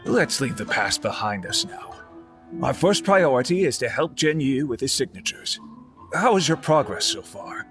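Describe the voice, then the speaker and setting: Man acting a composed, expressive office boss. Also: medium pitch, normal speed, over music, natural voice. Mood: reassuring. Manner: polite, organised, giving instructions. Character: composed adult male publishing boss, decisive and considerate. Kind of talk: video game voice acting.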